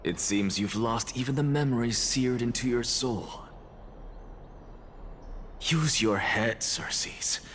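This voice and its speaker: An actor playing a proud, coolly theatrical professor in a video game, lecturing in a deliberate, pompous manner. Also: male voice, medium pitch, a bit bright, slight background noise. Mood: coolly triumphant. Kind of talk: dramatic scripted game dialogue.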